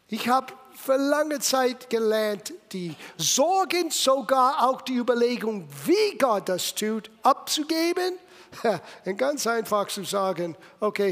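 High-voiced American man preaching in German. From United States. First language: English. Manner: emphatic, swinging between loud bursts and hushed lines; German with non-native grammar and English slips. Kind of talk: sermon. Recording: long hall reverb.

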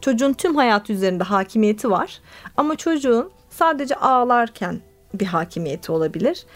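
A woman play therapist, a guest on a radio interview, explaining her ideas thoughtfully with many pauses and fillers. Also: fairly high pitch, animated, faint music behind.

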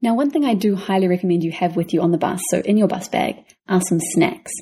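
A woman with a New Zealand accent gives practical travel advice on a podcast, talking fast. Her voice is a little low, husky and warm. She is casual and to the point. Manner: brisk, practical advice-giving. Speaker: podcast co-host.

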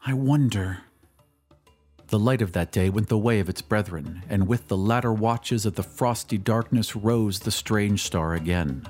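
A man's voice: lowish voice, normal speed, few pauses, darkish tone. A man giving a dramatic scripted narration of a story, as in an audio drama. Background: music.

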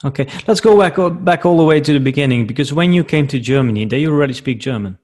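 A man interviewing a guest in a relaxed conversation. He keeps an ordinary mid-range pitch and leans in with short agreements between longer questions. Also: fast. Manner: attentive, frequent backchannel agreement. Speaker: interviewer, podcast host.

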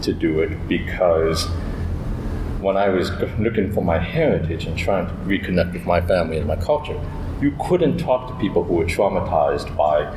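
A man's voice: sits low, lively intonation, moderate pace. A man speaking on a panel, rambling and hesitant with many fillers. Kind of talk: reflective panel talk. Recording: roomy echo with background noise.

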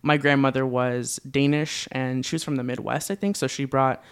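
A young man in his twenties from Southern California, talking as a podcast guest. His voice sounds smooth and clear, and he talks in a relaxed way, pausing on ums and laughing often. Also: mid-range voice. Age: 20s. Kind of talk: casual conversational interview.

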